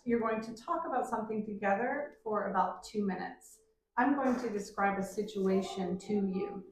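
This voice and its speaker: A woman examiner reads out test instructions slowly and clearly. Her voice sits a little high. It echoes around a room and is picked up from some way off. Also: slight background noise. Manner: clear, slow, deliberate questioning. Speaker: examiner, English teacher. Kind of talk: mock speaking exam questions. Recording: echoey room, mic at a distance.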